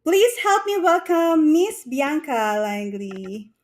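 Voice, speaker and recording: A woman's voice: high voice, very animated, takes it slowly, darkish tone. A woman hosting a live-streamed interview, friendly and eager, laughing often. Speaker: host.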